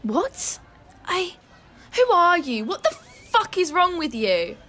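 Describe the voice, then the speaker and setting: A woman acting as a guarded young woman, loyal but sceptical. Her high voice is hesitant and doubtful, performed in natural audio drama style. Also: sing-song, takes it slowly, fairly bright tone, heavily acted. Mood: shocked.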